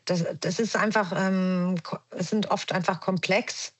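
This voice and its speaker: A woman's voice: lowish voice, steady tone, a little slow, breathy edge. A woman with a German accent, a podcast guest speaking reflectively with many restarts and fillers. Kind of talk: reflective conversational podcast talk.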